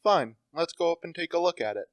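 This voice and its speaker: A teenage student actor playing a brisk, assured, well-educated gentleman in an amateur radio play. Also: a little high, lively intonation, dry recording, close to an everyday voice. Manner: breezy, assured, clipped questions.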